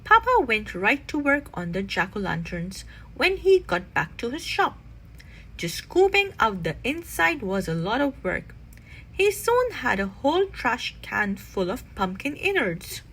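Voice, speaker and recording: Female children's read-aloud narrator: very animated, fairly high voice with a husky edge. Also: a little slow, rough recording. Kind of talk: expressive scripted storybook narration.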